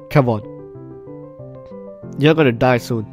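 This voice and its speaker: Male let's-play host, medium pitch, expressive reading and interjected commentary, game music in the background. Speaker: gaming video host. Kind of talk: gameplay commentary and reading game text aloud.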